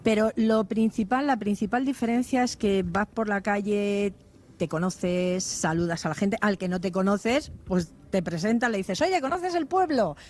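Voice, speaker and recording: Fifty-something woman, chatty and jokey, mid-pitched voice, talking outside in a village square. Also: normal speed, darkish tone. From Madrid, Spain. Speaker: interviewee, vocational teacher. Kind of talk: casual radio interview.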